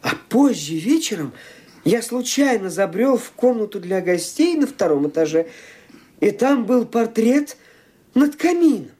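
A man's voice: high voice, sing-song, measured pace, darkish tone, slightly rough, full character voice. An actor playing a genteel nephew in a radio drama, hemming and hesitating as he talks. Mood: hesitant, musing. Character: genteel nephew, hesitant, explaining things away.

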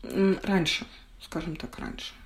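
Creaky-voiced young woman, reflective. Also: lowish voice, wildly swooping pitch, unhurried. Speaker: podcast guest sharing personal experience. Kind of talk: candid personal conversation.

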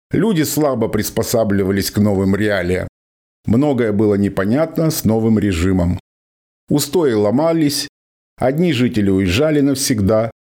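A man narrating a scripted radio play in a dramatic storytelling manner, with deliberate pauses. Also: mid-range voice, darkish tone. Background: music.

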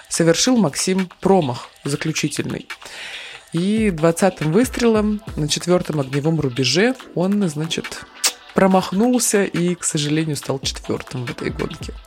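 A man's voice: on the high side, animated, normal speed, a little mellow, faint music behind. A man co-hosting a news podcast, recounting sports results in an easygoing way.

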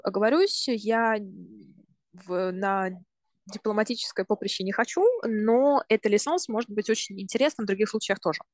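A woman in her twenties from Russia, a university student and podcast guest, talking casually and explaining things step by step, often pausing to rephrase. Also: mid-range voice, no echo, studio quality. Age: 20s.

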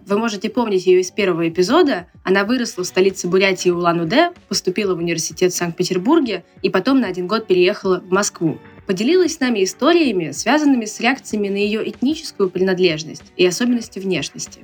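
A woman's voice: mid-range voice, lively intonation, fast talker, few pauses, slightly rough, soft background music. A woman hosting a podcast, reading scripted narration that links the segments. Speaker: podcast host.

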